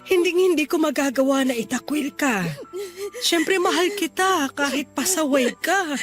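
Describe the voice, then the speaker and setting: A woman's voice: high voice, moderate pace, lightly acted. A woman playing a loving, protective middle-aged mother in a sentimental audio drama, earnest and consoling. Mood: reassuring. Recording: music behind the voice.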